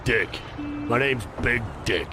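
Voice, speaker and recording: An actor playing a cocky, hot-headed street tough in a video game cutscene, with brash, swaggering delivery. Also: male voice, mid-range voice, takes it slowly, background noise, lightly acted. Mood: cocky, suspicious.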